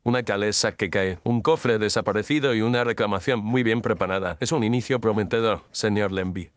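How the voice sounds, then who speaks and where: Man playing composed low-voiced Victorian detective. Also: no room sound, natural voice. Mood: composed, incisive. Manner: measured, formal, incisive. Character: composed, astute Victorian male detective, courteous but commanding. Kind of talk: audio detective story dialogue.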